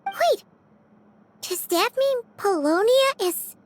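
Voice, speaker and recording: A woman voicing a tiny, excitable, childlike female companion in a video game, squeaky, exclamatory and inquisitive. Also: slow pace, a little hiss or hum, full character voice. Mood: concerned. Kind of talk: scripted video game voice acting.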